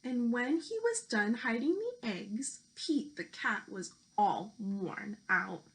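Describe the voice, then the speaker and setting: A woman teacher reading a children's picture book aloud, speaking deliberately to young listeners. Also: on the high side, animated, poor audio. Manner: slow, deliberate delivery addressed to young children. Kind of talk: children's storybook read-aloud.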